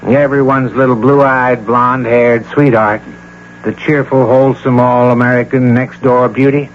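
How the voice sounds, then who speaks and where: On a slightly hissy, muffled old radio broadcast, an actor plays a male private detective of medium pitch. He is polite and unflappable, speaking in a dry, matter-of-fact radio drama manner. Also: steady tone, measured pace, natural voice. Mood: cynical. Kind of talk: 1980 radio drama acting.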